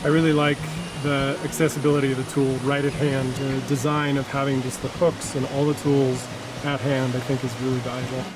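A man, a chef, speaking in a measured way in an interview soundbite. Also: mid-range voice, level tone, noisy background.

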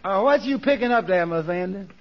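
An agreeable, simple-minded sidekick in an old radio comedy. The voice is extremely high and folksy, drawing out its agreement. The 1940s recording is dull and narrow. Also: male voice, lively intonation, fairly quick. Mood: marveling. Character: Lightning, dim, agreeable, slow-moving male sidekick.

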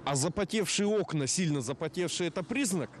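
A man reports from a city street at night, in a fairly high voice and a lightly joking tone. Traffic noise fills the background clearly. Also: animated, fairly quick. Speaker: news reporter. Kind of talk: field reporting and interview questions.

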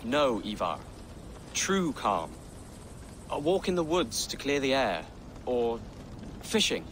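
An earnest young Saxon noble. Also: male voice, medium pitch, big pitch swings, takes it slowly, a little hiss or hum. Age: young adult. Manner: earnest, hopeful, softly spoken. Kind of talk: video game cutscene acting.

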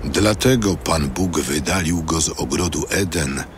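Male narrator, extremely low voice, unhurried solemn scripture reading. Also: a little hiss or hum.

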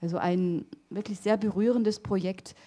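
A middle-aged woman giving an academic lecture in a hall on a handheld microphone, pausing often with fillers and restarts. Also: mid-range voice, fairly even intonation, warm tone. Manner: hesitant, many fillers and restarts. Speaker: theology lecturer.

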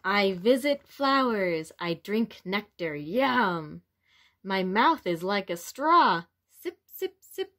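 Woman as a cheerful young caterpillar, children's storybook, slow pace, lively intonation, expressive. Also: mid-range voice, full character voice. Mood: excited.

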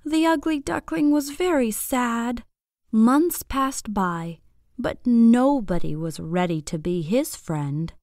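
A woman narrating a scripted bedtime story for young children in an expressive, deliberate, clearly phrased way. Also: a little high, no echo, clean studio sound.

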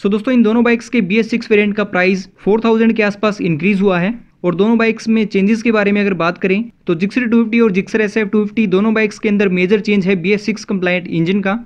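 A man's voice: sits high, steady tone, fast talker, hardly any pauses. An Indian man narrating a scripted video as a motovlog host, addressing viewers as friends in a dull, slightly lo-fi voice-over.

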